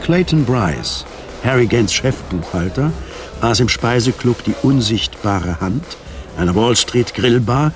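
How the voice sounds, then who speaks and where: A man narrating a scripted German radio drama in a measured, literary manner. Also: medium pitch, expressive, music in the background.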